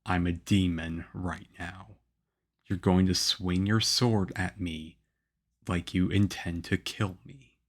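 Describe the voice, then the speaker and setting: Male actor as aloof young swordsman mentor for ASMR roleplay: stern, flat, unhurried delivery, measured and harsh. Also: low voice. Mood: stern, scolding. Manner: harsh, measured, deadpan, with grudging praise. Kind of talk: ASMR roleplay acting addressed to the listener.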